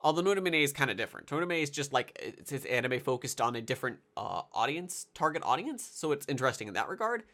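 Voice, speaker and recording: Congested nasal young man, lively pitch. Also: quick pace, few pauses. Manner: rambling with many fillers and restarts, excitable. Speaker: anime video reviewer. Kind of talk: casual rambling commentary.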